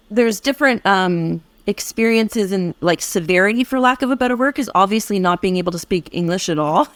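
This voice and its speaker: A woman's voice: mid-range voice, dry recording. Reflective American woman, dry close sound. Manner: reflective, affirming, speaks in long winding sentences with restarts. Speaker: podcast co-host. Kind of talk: conversational podcast chat.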